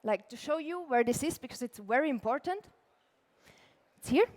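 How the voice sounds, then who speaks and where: A young woman with an Austrian accent gives a relaxed talk from a conference stage. Her voice sits a little high. She chats loosely and fills pauses with "like". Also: long reverb tail. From Austria (Tyrol). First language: German. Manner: casual, chatty, many "like" fillers, laughs lightly. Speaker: conference speaker, illustrator.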